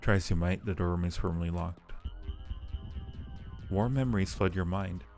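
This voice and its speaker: Male game streamer, deep mellow voice, thinks out loud while reading on-screen text, music underneath. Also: normal speed. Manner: musing aloud, repeats phrases to himself. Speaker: live streamer. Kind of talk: live game commentary mixed with reading game text aloud. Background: background music.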